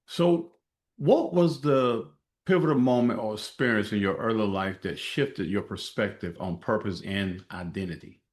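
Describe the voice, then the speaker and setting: A man's voice: fairly low pitch, normal speed. A man hosting an interview show, encouraging his guest and asking open questions. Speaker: podcast host.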